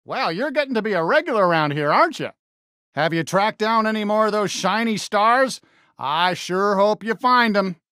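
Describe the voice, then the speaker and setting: Casting: friendly, excitable cartoon cowboy sheriff for a game, American, folksy drawl, bright and forceful, swooping pitch. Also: male voice, moderate pace, dry recording, studio quality. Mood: cheerful, joking. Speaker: voice actor in a video game. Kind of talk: animated game character voice lines.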